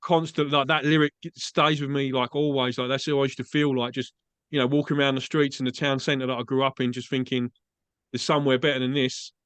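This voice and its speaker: A man's voice: mid-range voice, flat delivery, fairly quick, a bit bright, clean tone, dry recording. A man from England with an Essex accent, hosting a podcast interview in a chatty, agreeable way, often saying "mate". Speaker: podcast host. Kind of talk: casual interview chat. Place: Australia.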